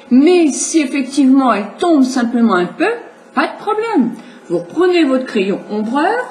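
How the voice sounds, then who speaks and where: A middle-aged woman with a high, light voice, a little stuffy from a cold, talks viewers through her makeup in a chatty, explanatory way. She sounds roomy and echoey, with a faint hiss in the background. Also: a little mellow. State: has a cold. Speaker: makeup tutorial host. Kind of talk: casual makeup demonstration.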